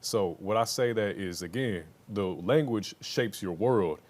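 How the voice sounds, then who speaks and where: A man's voice: on the low side, a little slow, darkish tone. A man on a podcast panel, sharing a reflective, thoughtful point. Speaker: panel guest. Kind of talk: panel discussion. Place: podcast studio.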